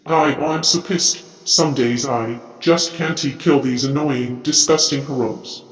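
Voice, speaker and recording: A man's voice: medium pitch, steady tone, slow, soft background music, natural voice. A man voicing a hateful, menacing robot henchman in taunting, grumbling game-character voice lines. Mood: irritated, hateful. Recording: echoey, reverberant, slightly muffled audio.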